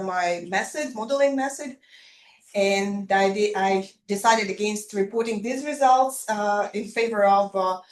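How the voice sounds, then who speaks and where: Hesitant woman presenting in an echoey room. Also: medium pitch. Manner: hesitant, full of fillers. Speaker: conference presenter. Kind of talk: academic presentation.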